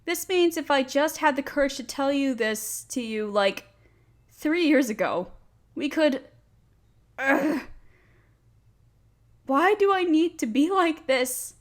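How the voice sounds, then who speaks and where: High-voiced, nervous, smitten young woman acted by a woman. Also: expressive, takes it slowly, pauses often. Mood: relieved, self-reproaching. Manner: breathy gasps, sighs, hesitant stammering. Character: nervous, smitten, nerdy young woman confessing love to friend. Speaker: audio roleplay performer. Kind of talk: intimate romantic roleplay acting.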